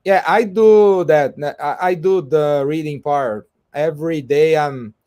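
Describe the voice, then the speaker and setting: Slow-speaking man, halting English. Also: fairly high pitch, dark tone. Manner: halting, repeats words, self-correcting. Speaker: co-host and English learner. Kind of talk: casual livestream chat.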